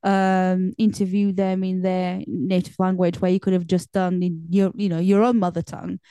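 Smooth-voiced woman chatting. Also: fairly low pitch, level tone, normal speed, warm tone, dry recording. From Portugal. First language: Portuguese. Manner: chatty, meandering, lots of fillers. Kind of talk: casual chat.

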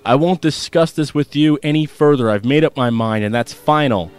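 A man's voice: mid-range voice, normal speed, a little mellow, faint music behind, lightly acted. A man voicing a firm, protective middle-aged father who talks in a commanding way. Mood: stern.

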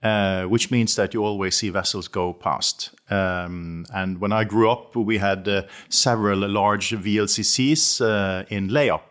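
A middle-aged man with a Norwegian accent, answering as an interview guest in a halting, conversational way with repeated words and hesitations. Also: fairly low pitch, fairly even intonation, fairly bright tone, smooth. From west coast of Norway. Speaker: interview guest, shipping company chief executive.

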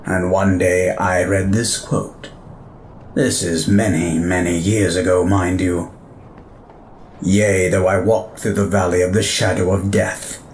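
A slow, low-voiced alien warlord villain. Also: male voice, a little hiss or hum. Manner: theatrical, musing, grandiloquent. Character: menacing, vain alien warlord who savours ancient human texts. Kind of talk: audio drama villain acting.

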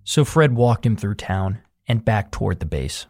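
A man hosting a podcast, reading scripted narration in a measured documentary way. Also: lowish voice, very animated, a little mellow. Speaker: podcast host.

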